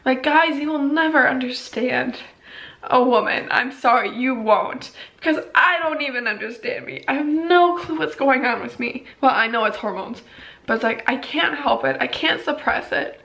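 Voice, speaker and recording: A young woman talking casually and candidly in a personal daily vlog, rambling a little and hoarse from a long day of talking. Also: high-pitched, normal speed, bright, forceful tone. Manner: rambling, candid, self-deprecating. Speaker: vlogger.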